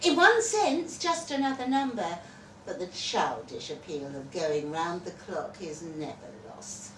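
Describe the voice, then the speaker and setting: A woman giving an expressive live reading of a story, with wry delivery and dramatic pauses. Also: fairly low pitch. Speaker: reader of a short story at a festival. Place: live festival reading in an echoey room. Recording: echoey venue, far from mic, noisy.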